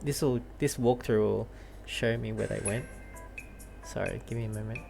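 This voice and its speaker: A woman's voice: rumbling low, normal speed, vocal fry, warm tone, faint music behind. A woman gaming streamer giving casual playthrough commentary, rambling and thinking aloud.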